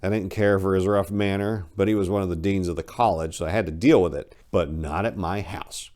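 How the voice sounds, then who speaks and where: A man giving a theatrical, measured dramatic reading of a literary short story as its narrator. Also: low-pitched, steady tone, a little mellow.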